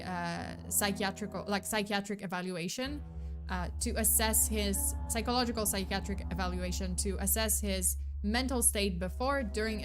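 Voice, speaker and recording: A young woman tells a true crime case in loose, rambling chat, full of likes, uhs and self-corrections, with a casual swear here and there. Her voice is tired and fraying from long talking, and music plays clearly underneath. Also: mid-range voice. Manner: chatty, lots of fillers and restarts, swears casually. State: losing her voice. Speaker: true crime vtuber host. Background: background music.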